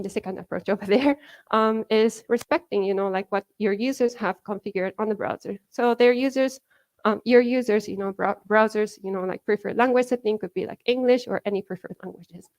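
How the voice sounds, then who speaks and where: A woman's voice: medium pitch, a little fast, a little mellow. A woman from Japan with a Japanese accent, giving a conference talk in a chatty way full of fillers, laughing now and then. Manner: chatty, many fillers like "you know" and "like", laughs often. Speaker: conference speaker. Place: conference hall.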